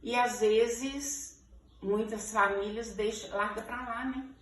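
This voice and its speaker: A woman's voice: fairly high pitch, fairly even intonation, slow. A woman of sixty-five with a Minas Gerais Brazilian accent, answering informal interview questions conversationally, often checking in with little tag words. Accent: Brazilian Portuguese, Minas Gerais. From Ferros, Minas Gerais, Brazil. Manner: conversational, frequent checking tags like "né" and "sabe". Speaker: interviewee, teacher. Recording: echoey room, distant mic, background noise.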